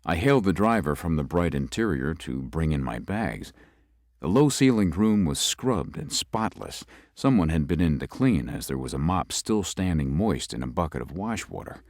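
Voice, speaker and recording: A man voicing a young, composed city lawyer who narrates his own ghost story in a measured, slightly formal way. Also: extremely low pitch, very animated, a little mellow, no room sound, clean studio sound, full character voice. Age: young adult. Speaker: narrator and lead. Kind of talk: first-person audio drama narration.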